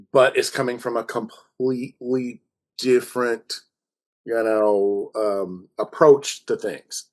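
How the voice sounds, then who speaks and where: A man reminiscing casually as a podcast guest, telling anecdotes in a jokey way. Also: mid-range voice. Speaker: podcast guest, audio drama writer.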